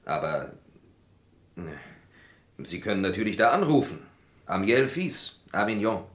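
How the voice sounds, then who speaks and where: Low-voiced, defensive accused man, roomy sound. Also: sing-song, a little slow, a bit bright. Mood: defensive, explaining. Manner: formal, hesitant, protesting. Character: accused male lab worker, defensive and evasive. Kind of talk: vintage German radio crime drama acting. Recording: roomy old radio play recording.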